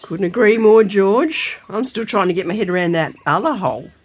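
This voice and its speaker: An actress playing a stubborn, gullible older grandmother in a comic audio drama, husky-voiced and full of indignant questions. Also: medium pitch, expressive, moderate pace. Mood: alarmed.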